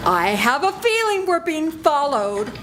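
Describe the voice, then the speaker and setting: A woman's voice: high-pitched, very animated. Older woman playing a frightened heroine. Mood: tense. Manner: anxious, halting delivery. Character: frightened, suspicious young woman in peril. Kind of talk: amateur radio play reading. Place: senior center event room, live reading. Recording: noisy live room.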